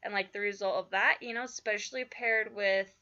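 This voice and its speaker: A woman teaching writing craft in a casual spoken lesson, chatty with lots of fillers, her voice a little croaky from just waking up. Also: mid-range voice, steady tone, brisk, sharp tone, highs cut off. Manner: chatty, many fillers like "like" and "you know".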